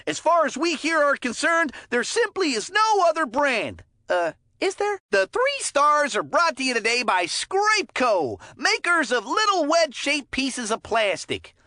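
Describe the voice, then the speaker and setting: A woman voicing a folksy, excitable, goofy hockey commentator in a cartoon kids' sports game, with hammy announcer patter. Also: high voice, a little slow, few pauses, no room sound, studio quality, exaggerated voice. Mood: salesman-like.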